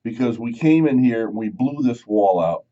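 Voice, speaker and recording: A man's voice: medium pitch, animated, normal speed, mellow. A man hosting a morning radio talk show, telling rambling stories and joking. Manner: rambling, jokey, acts out quotes of other people. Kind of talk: chatty radio talk, storytelling. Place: radio studio. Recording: slightly muffled radio broadcast.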